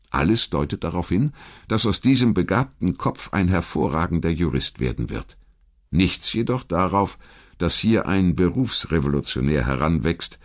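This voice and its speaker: A man reading a scripted historical narration in a measured, articulate storytelling manner. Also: low-pitched. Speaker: documentary narrator.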